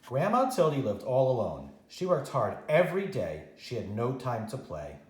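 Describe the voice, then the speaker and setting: A man reading a children's story aloud as a teacher, expressively and with dramatic pauses. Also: mid-range voice, huge pitch swings, reverberant, slight background noise. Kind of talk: animated read-aloud storytime narration.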